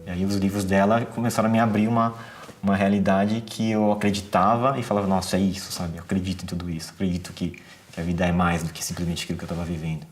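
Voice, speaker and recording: Low-voiced young guy from brazil, talks fast, reflective interview. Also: level tone, faint music behind. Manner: thoughtful, earnest, many rhetorical questions. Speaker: entrepreneur.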